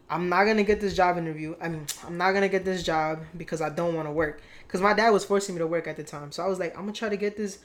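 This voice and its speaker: A young adult vlogger telling a story to viewers in a candid, rambling way, full of slang and swearing. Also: medium pitch.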